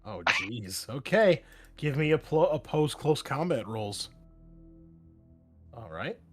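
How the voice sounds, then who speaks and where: A man's voice: medium pitch, big pitch swings, takes it slowly, husky, faint music behind. A man running a tabletop roleplaying game as game master, amused and easygoing, explaining rules with many fillers.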